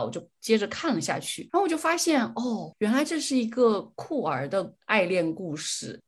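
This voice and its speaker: Young woman, lowish slightly husky voice. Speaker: podcast host. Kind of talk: casual solo podcast chat, sharing film opinions.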